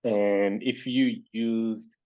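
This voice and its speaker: A man's voice: fairly low pitch, steady tone, drawn-out words, warm tone, no echo, lo-fi sound. A man whose first language is Hebrew speaks English with a Hebrew accent. He hosts an online Q&A, thinking aloud hesitantly with many fillers. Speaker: event host reading audience questions. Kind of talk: casual online panel Q&A.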